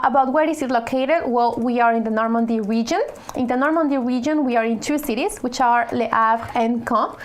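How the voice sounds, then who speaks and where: A woman answering questions about her work as a school manager in a webinar. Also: on the high side. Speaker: business school international development manager.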